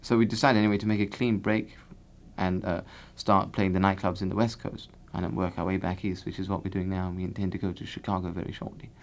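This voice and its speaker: A pompous, self-important singer who boasts of his fine bass voice, as a man acts him out. He rambles, talks in a fussy way and keeps correcting his partner. His voice sits fairly low. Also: little pitch movement, fast talker, few pauses. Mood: grandiose. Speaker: half of a singing duo. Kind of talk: improvised comic interview.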